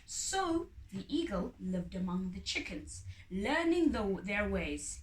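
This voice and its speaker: A woman's voice: mid-range voice, sing-song, slow pace. Woman reading a story in an echoey room. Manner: dramatic, emphatic storytelling. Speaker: storybook reader. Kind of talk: expressive read-aloud of a children's story. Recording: roomy echo, distant mic, faint noise.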